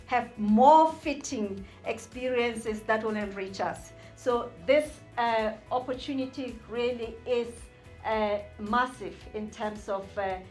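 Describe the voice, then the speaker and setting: A woman, a visiting university representative, giving an upbeat formal speech with many uhs. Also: fairly high pitch.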